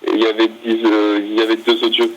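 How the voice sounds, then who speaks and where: Halting low-voiced man on the phone. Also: monotone. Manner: halting, apologetic, repeats himself. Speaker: buyer on a phone call. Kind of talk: casual, polite phone negotiation. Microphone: telephone. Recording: telephone line with faint noise.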